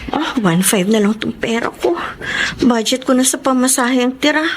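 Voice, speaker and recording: High-voiced young Filipino woman, earnest breadwinner daughter acted. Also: normal speed, a little hiss or hum, lightly acted. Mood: caring, busy. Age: young adult. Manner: emotive, expressive. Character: weary, hardworking eldest daughter, earnest dreamer, breadwinner. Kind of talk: Filipino radio drama acting.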